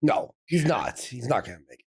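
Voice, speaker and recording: A man's voice: medium pitch, big pitch swings, a little fast, darkish tone. A man co-hosting a show, reacting casually in blunt, emphatic, repetitive phrases with plenty of swearing. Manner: blunt, emphatic, repeats himself. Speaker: show co-host. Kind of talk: casual profane reaction talk.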